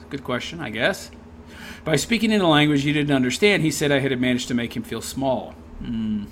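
A man's voice: medium pitch, slight background noise. A man reading a text aloud and tearing into it with sarcastic, scornful commentary. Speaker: commentary video host.

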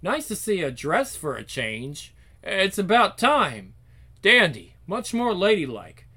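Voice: medium pitch, sing-song, slow, pushed and bright, full character voice. A performer voicing a fussy, approving elderly grandmother in a story. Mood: approving, brisk.

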